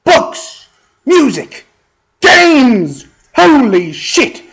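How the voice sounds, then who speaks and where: A man's voice: very high-pitched, sing-song, drawn-out words, creaky, a bit bright, slightly rough. A man delivering a scripted, profane comedic rant in an exasperated, emphatic and scornful way, drawing out words theatrically.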